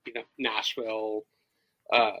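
A man close to forty from the American South, hosting a casual interview and asking questions in a relaxed way. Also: lowish voice, a little slow, muffled recording. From Southern United States. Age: 30s. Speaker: podcast host.

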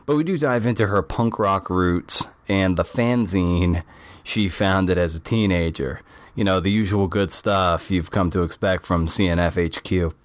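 A man's voice: sits low, measured pace, dry recording. A man as podcast host reading a breezy, chatty show intro with asides to listeners. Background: faint music.

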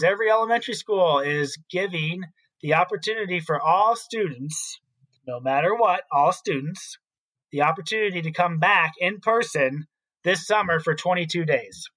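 A man's voice: a little high, normal speed, bright, forceful tone, poor audio. A man co-hosting an education podcast as a school principal, explaining his opinions in casual talk.

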